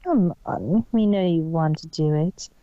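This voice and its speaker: A woman voicing a cruel, scheming female villain for a story reading, slow and gloating. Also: sits low, warm tone, no room sound, dull audio, pitched below the performer's own voice.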